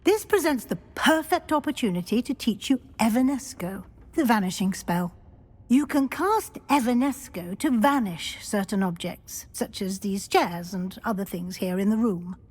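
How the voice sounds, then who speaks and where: A woman playing a kindly, brisk middle-aged magic professor in a video game, husky, with an instructive, encouraging manner. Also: mid-range voice, expressive, darkish tone. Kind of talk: video game character acting.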